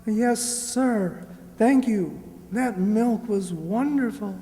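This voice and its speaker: A woman's voice: medium pitch, drawn-out words, mellow. A woman playing a polite, earnest poor boy in an amateur radio play, hesitant and deferential. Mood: weak, grateful. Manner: deferential, hesitant, eager to please. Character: polite, earnest, humble poor boy seeking work in London. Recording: echoey hall, long reverb.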